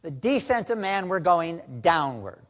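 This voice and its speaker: A man lecturing in a recorded talk, his pitch swinging up and down a great deal. He preaches at the audience and keeps firing off rhetorical questions. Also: big pitch swings, measured pace, fairly bright tone. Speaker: lecturer in a played video.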